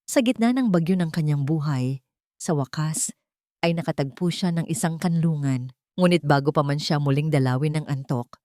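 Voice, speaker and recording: A woman narrates scripted drama in a fairly low voice. Her phrasing is solemn and deliberate, and the sound is close and dry. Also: a little mellow, dry recording.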